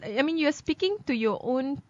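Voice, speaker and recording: A woman co-hosting a casual news commentary show, chatty and quick to laugh. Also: sits high, expressive, a little mellow. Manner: chatty, laughs often, many fillers. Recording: dull lo-fi podcast audio.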